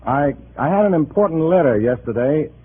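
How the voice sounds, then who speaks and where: An actor playing a nervous, hesitant undercover agent posing as a loyal comrade in a 1950s radio drama. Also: male voice, on the high side, lively intonation, brisk, breathy edge. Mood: strained, falsely cheerful. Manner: hesitant, stammering under pressure. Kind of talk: 1950s radio drama acting with first-person narration. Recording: tinny, noisy old radio recording.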